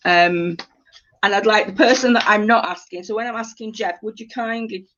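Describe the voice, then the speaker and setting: A woman's voice: mid-range voice, normal speed, fairly bright tone, a little hiss or hum. A woman leading a creative writing workshop, giving polite, slightly hesitant instructions to her group in a conversational way. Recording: dull lo-fi audio.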